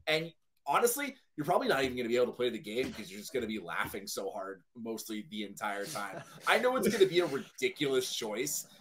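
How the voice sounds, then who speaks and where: A man's voice: mid-range voice, big pitch swings, quick pace, fairly bright tone. A man talking casually as a guest panelist in a group discussion.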